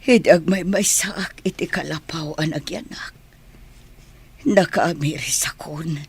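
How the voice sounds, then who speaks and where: Woman playing a frail, very husky, ailing mother. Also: low-pitched, takes it slowly, full character voice. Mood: remorseful. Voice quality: weak, breathy, husky. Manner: frail, apologetic. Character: ailing, remorseful mother who left her family. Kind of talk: radio drama acting.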